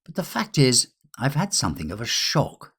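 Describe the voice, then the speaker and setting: A man's voice: medium pitch, wildly swooping pitch, moderate pace, fairly bright tone, breathy edge, voice pushed up, exaggerated voice. A man voicing a nervous, apologetic young hotel guest with a hesitant, polite manner. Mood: nervous, confiding.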